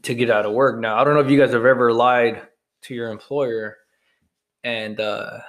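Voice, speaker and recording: Male podcast co-host, medium-pitched voice, rambling and frank, casual swearing. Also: steady tone, moderate pace, fairly bright tone. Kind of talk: casual chat.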